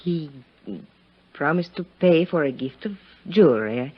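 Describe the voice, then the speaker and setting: An actress playing a worldly, poised, impoverished young countess with a languid, guarded manner in a 1950s radio drama. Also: female voice, sits low, lively intonation, a little slow, breathy edge, heavily acted. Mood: composed. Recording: muffled 1950s radio recording.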